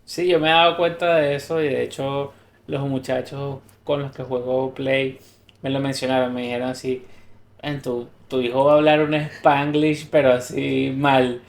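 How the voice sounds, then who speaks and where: A man's voice: medium pitch, level tone, normal speed, gravelly. A man co-hosting a podcast, talking casually and thoughtfully, explaining ideas through examples and restarts. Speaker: podcast co-host. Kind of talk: casual conversational podcast chat. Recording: roomy echo.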